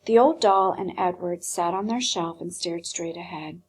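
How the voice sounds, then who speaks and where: A woman teacher reading a storybook aloud expressively, with dramatic pauses and hushed phrases. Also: lowish voice, slightly rough, poor audio.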